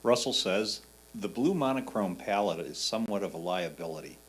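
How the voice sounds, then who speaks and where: A man's voice: deep voice, animated, moderate pace, breathy edge. A man reading a written photo critique aloud on someone else's behalf. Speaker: reader of a judge's written comments. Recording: faint electrical hum.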